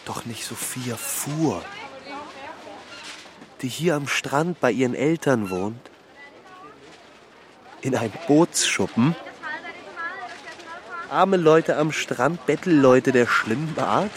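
A man's voice: mid-range voice, expressive, slow pace, pauses often, slight background noise. An actor playing a gossiping, meddling countryman in a radio drama, speaking in a probing, insinuating and scornful way. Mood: scornful warning. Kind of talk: scripted German radio drama acting.

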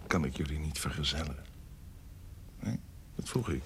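A deep, gravelly scheming courtier. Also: male voice, animated, moderate pace, a little hiss or hum, heavily acted. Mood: coaxing. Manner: insinuating, savouring words, slipping into hushed tones. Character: scheming, lecherous male courtier and secret conspirator. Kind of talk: audio drama acting in Dutch period piece.

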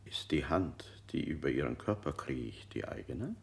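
A man's voice: a bass voice, big pitch swings, moderate pace, creaky, exaggerated voice. An actor playing a cold male interrogator in a radio drama, firing unsettling questions with sudden leaps in his voice. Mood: probing.

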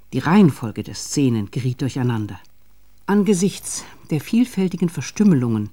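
A male narrator reads a scripted radio documentary. His voice is mellow and relaxed, sitting at an average pitch. The delivery is formal and measured.

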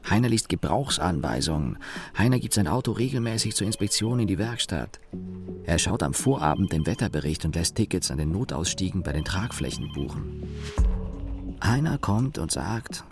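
A disgruntled office engineer and sardonic narrator in a radio drama. His voice is low and gravelly with lively intonation, and he recites grievances with dry cynicism. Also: moderate pace, over music. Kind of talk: German radio play dialogue and monologue.

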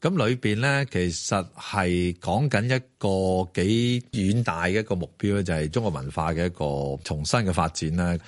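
A man being interviewed explains a subject, pausing on fillers as he goes. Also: deep voice, dry recording. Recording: dry close recording.

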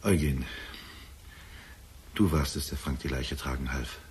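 A man's voice: extremely low pitch, measured pace, slight background noise. An actor playing a bitter, volatile older father and jealous lover in a German radio drama, brooding and accusing. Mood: grave, accusing. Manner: brooding, accusing, prone to agitated outbursts.

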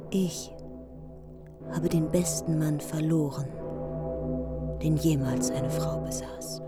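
Slow, grieving proud queen. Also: low-pitched. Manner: solemn, measured, formal. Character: grieving, proud widowed queen, quietly vengeful. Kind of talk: German radio play acting. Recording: music behind the voice. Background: music.

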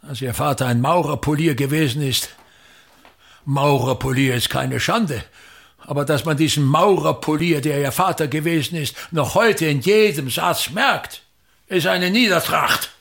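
Pompous, gravelly older stage tyrant. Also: male voice, fairly high pitch, a little slow, fairly bright tone, full character voice. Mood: indignant, grandiose. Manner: pompous, declamatory, emphatic repetitions. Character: pompous, tyrannical older actor-patriarch, grandiose and aggrieved. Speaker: actor. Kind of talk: theatrical radio drama monologue.

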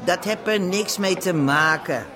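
An actor playing an exasperated café colleague in a Dutch radio drama, curt, scolding and reproachful. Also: male voice, high-pitched, unhurried. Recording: busy crowd murmur behind.

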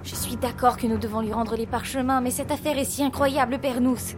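Woman playing determined amateur sleuth, lightly high voice, earnest, dramatic, radio play, music bed. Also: steady tone, fairly quick, close to an everyday voice. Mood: urgent, persuasive. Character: earnest, determined young sister turned amateur investigator. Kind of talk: French audio drama narration and acting.

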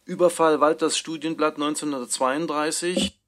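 A man's voice: on the high side, steady tone, moderate pace, soft background music. A man announcing titles and dates from a script in a radio drama. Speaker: announcer of chapter drafts.

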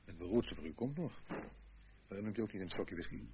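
An actor in a radio play playing a brisk, practical agent who gives matter-of-fact instructions. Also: male voice, on the low side, animated. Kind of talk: radio drama acting. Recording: noisy old radio play recording.

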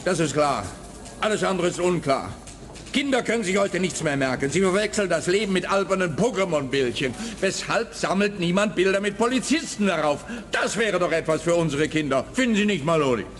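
A man's voice: sits high, animated, a little fast, fairly bright tone. A man playing a grumbling, melodramatic police officer in a radio play, theatrical and exasperated.

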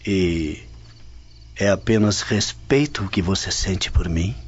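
An actor with a Brazilian accent playing a suave, courteous Roman gentleman flirting in a radio drama, smooth and teasing. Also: low-pitched, lively intonation, slow pace, muffled recording. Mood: flirtatious, teasing.

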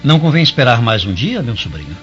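An actor with a Brazilian accent playing a kindly, considerate Roman uncle in a radio drama. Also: male voice, mid-range voice, sing-song, fast, fairly bright tone. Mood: kindly, concerned. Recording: muffled radio drama with music behind.

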